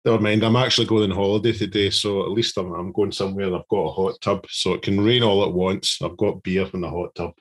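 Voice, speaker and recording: Husky male co-host. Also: low-pitched, steady tone, quick pace, few pauses. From Scotland. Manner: chatty, stumbling with repeated words. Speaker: podcast co-host. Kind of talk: casual podcast chat.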